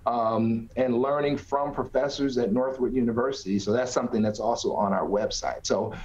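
A man's voice: medium pitch, moderate pace, darkish tone. A middle-aged man, a nonprofit director, answering interview questions conversationally over a video call from an office. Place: small office, indoors. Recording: slightly dull video-call audio.

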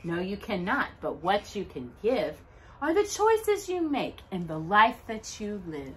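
A middle-aged woman hosting a children's storytime, reading aloud in an expressive, deliberate, dramatic way with emphatic pauses. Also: mid-range voice. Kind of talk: expressive read-aloud of Bible verses and a children's Christmas book. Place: living room at home, indoors by a brick fireplace.

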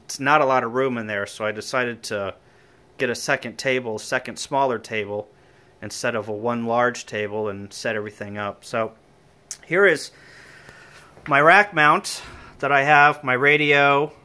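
A man's voice: mid-range voice, unhurried, sharp tone, smooth. A man giving an informal, slightly hesitant hands-on equipment walkthrough, thinking aloud as he goes. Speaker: amateur radio hobbyist. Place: indoor room with equipment on folding tables. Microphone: handheld phone or camera he is holding.

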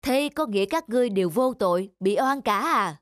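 A woman voicing a stern, fair-minded middle-aged male governor in a cartoon, speaking formally and with measured authority. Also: on the high side, animated, no room sound, clean studio sound, close to an everyday voice. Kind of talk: scripted cartoon dub. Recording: music under the dialogue.

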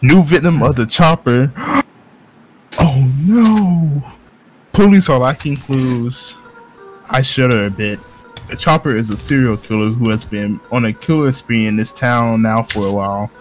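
A man's voice: medium pitch, a little slow, poor audio. A man reading visual novel text aloud and breaking into casual, joking banter with some swearing. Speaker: narrator of a visual novel playthrough. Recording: music behind the voice.

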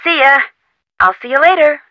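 A woman's voice: high voice, lively intonation, moderate pace, dry recording, highs cut off. An actress playing a plucky young female amateur detective in an adventure game, talking in an encouraging, insistent, conversational way. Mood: brisk, curious. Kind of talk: scripted adventure game dialogue.

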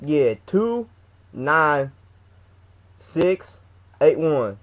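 A man's voice: on the high side, big pitch swings, very slow, full character voice. A young man putting on a slangy, stammering, aggrieved customer for a prank phone call. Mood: matter-of-fact. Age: young adult. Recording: dull lo-fi webcam audio.

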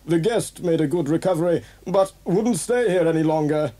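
An anxious hotel owner, played by an actor, in an audio drama. His voice is very high for a man and mellow, with formal, fussy phrasing. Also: moderate pace, natural voice. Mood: worried. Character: anxious, prim hotel owner pleading for help. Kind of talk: 1980s children's audio drama acting.

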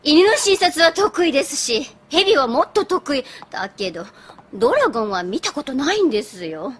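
A woman voicing a gentle, polite veterinarian pony with a soothing manner in a dubbed cartoon. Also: high voice, big pitch swings, normal speed. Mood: puzzled. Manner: baby-talk soothing, polite.

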